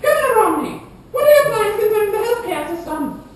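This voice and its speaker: A woman's voice: very high-pitched, sing-song, brisk. A woman playing an eager, analytical female debate co-moderator and pundit in a scripted puppet show. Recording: echoey room, distant mic, background noise.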